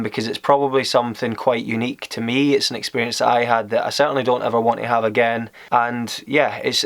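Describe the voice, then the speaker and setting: A man's voice: mid-range voice, vocal fry, fairly bright tone. Young Scottish man telling a story. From Scotland. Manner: rambling, candid, many fillers and restarts. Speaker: vlogger. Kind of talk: casual storytelling to camera.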